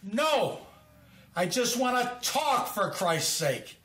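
Earnest older American man, unhurried. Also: sits high, big pitch swings, pushed and bright, heavily acted. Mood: exasperated. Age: 60s. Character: earnest, guilt-ridden older man, loyal old friend. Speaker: actor. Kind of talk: naturalistic film dialogue.

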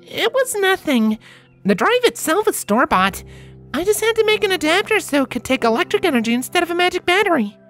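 A performer voicing excitable female cartoon ponies in an animated, girlish manner for a fan fiction reading. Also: extremely high pitch, a little fast, faint music behind, pitched above the performer's own voice, heavily acted. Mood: encouraging. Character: excitable young cartoon pony fillies and mares.

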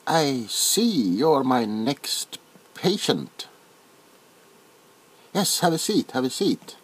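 A middle-aged man playing a nervous, bewildered, awkwardly polite doctor in a soft-spoken comedic ASMR roleplay, stammering and repeating himself. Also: mid-range voice, big pitch swings, slow pace, slightly rough, exaggerated voice. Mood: hesitant, puzzled. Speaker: ASMR performer.

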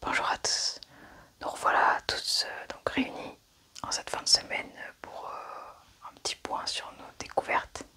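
A young woman, whispering softly and haltingly in an ASMR roleplay. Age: young adult.

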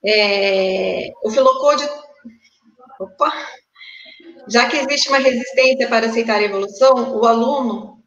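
A woman's voice: on the high side, a little slow, long pauses, fairly bright tone, a little hiss or hum. Woman moderator in an echoey, muffled room. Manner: hesitant, with frequent filler sounds while reading. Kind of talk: moderating a panel, reading audience questions. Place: home room, indoors. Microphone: video call.

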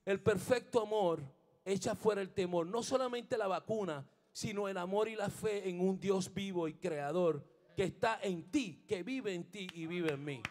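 A middle-aged man from Rico, leading a band on a studio stage. He introduces the players into a stand microphone while playing bass. He mixes Spanish and English, pauses with fillers, and later turns fervent and preacher-like. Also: darkish tone. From Puerto Rico. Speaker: bandleader and bassist. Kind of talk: onstage band introductions and spiritual encouragement.

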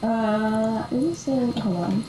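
A woman's voice: a little high, takes it slowly, a loud crowd. A hesitant, giggly woman chatting casually as a caller, sounding a little sick. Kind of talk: casual chat. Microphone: voice call. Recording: noisy internet voice call.

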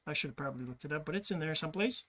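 A man's voice: a little high, expressive, racing, sharp tone. Man, middle-aged, casual host monologue, rambling, self-correcting, desk webcam, small home office. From Quebec, Canada. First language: French. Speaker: podcast host. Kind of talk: casual monologue with screen share.

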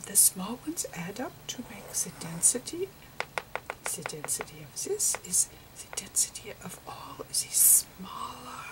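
A woman voicing an eccentric elderly male physicist, whispering a halting, patient ASMR roleplay lecture. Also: mid-range voice, distant mic, rough recording. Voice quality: breathy whisper. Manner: halting, repetitive, patiently explaining. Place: indoors at a slate chalkboard.

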